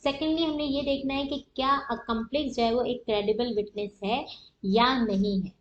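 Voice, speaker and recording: The sound is roomy and echoing, a little muffled. A woman with a Pakistani accent speaks in a fairly high voice as a lecturer. She explains step by step in a teaching manner, posing questions and answering them herself. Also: fairly even intonation, unhurried, slight background noise. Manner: didactic, asks and answers own questions. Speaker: law lecturer. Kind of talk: explanatory lecture with everyday examples. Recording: echoey room, dull muffled audio.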